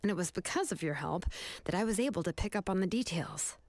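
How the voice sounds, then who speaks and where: A woman's voice: lowish voice, lively intonation, fast talker, darkish tone, no room sound. An actress voicing a cool, capable young woman in a video game, composed, matter-of-fact and protective. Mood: appreciative. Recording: game music underneath.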